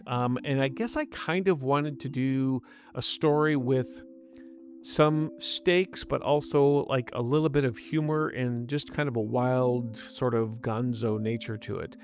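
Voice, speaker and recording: A man's voice: mid-range voice, fairly even intonation, measured pace, faint music behind. A man hosting a story podcast, giving an author's casual notes and show credits.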